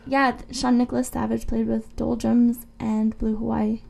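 A woman's voice: a little high, little pitch movement, moderate pace, creaky, a little mellow. A woman co-hosting a radio show, chatting casually and informally about music between songs.

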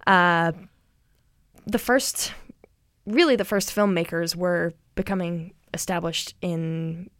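A woman's voice: lowish voice, takes it slowly, fairly bright tone, no echo. Young woman, podcast host, hesitant, full of ums, laughs easily, dry close sound. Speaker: podcast co-host presenting research. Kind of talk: casual chat.

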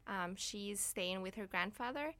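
A woman's voice: medium pitch, lively intonation, measured pace, pushed and bright. A woman in her twenties from Ecuador giving casual, hesitant interview answers as a guest. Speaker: interview guest, student filmmaker. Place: radio studio.

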